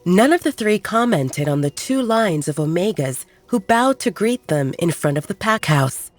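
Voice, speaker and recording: A woman's voice: on the low side, expressive, moderate pace. A woman reading scripted dramatic narration as the narrator of an audio story. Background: background music.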